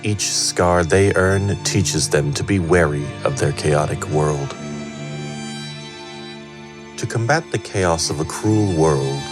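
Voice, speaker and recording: A man performing measured, solemn scripted narration of fantasy lore. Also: extremely low pitch, heavily acted. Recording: music behind the narration.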